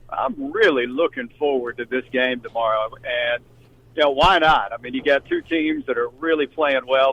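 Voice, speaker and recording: A man's voice: on the high side, fairly bright tone. A man who works as a sports announcer, giving conversational analysis as a radio guest. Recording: dry, slightly dull remote-guest audio.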